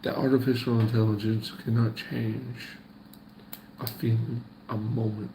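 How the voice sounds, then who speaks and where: A man with a gravelly voice talks to the camera, folksy and preachy, repeating his points about faith and purpose. He sounds like he is in an echoey room, with a faint ambience behind him. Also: mid-range voice, little pitch movement, measured pace, dark tone, slight background noise. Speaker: faith vlogger. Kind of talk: casual motivational talk to camera.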